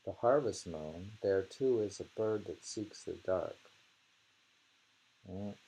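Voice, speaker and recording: A man reading poems aloud and commenting informally, musing and repeating lines deliberately. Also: low-pitched, warm tone, husky, poor audio. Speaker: reader of haiku poetry. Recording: noticeable hiss and background noise.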